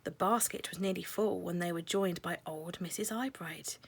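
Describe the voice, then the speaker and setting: A woman narrating a children's storybook in a measured, expressive way, letting phrases end softly. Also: on the low side, a little hiss or hum.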